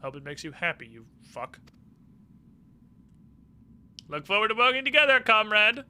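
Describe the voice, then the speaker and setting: A man's voice: high voice, big pitch swings, quick pace, bright, forceful tone, smooth, pitched above the performer's own voice, full character voice. A man voicing a smug, flippant former military villain turned uneasy ally, paraphrasing game dialogue in a casual, mocking way. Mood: teasing.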